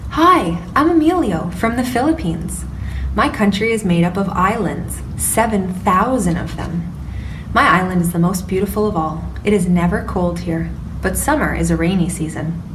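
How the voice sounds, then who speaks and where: A woman's voice: medium pitch, lively intonation, normal speed, roomy sound, close to an everyday voice. A woman voicing a cheerful young boy who lives on an island farm, in a childlike storybook reading, recorded from a distance with background noise.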